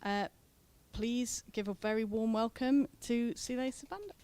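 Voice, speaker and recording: A woman's voice: fairly high pitch, measured pace, heavy background noise. Chatty woman host introducing a poet. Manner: chatty, with many fillers and self-corrections. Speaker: event host. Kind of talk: introducing a performer at a poetry event. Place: indoor event venue.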